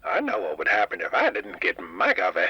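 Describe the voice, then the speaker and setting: A man voicing a grumpy, stubborn old diner owner, raspy, crotchety and rambling, heard over a radio call-in line. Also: sits low, huge pitch swings, brisk, no room sound, muffled recording, exaggerated voice. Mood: gruff, opinionated. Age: older. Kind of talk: comedic radio drama guest. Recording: dry, band-limited radio call-in line.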